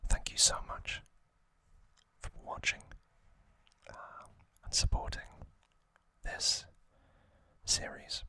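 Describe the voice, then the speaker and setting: A man hosting ASMR, narrating in hushed whispers with frequent pauses and a gentle, reassuring manner. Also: dry recording. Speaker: ASMR host.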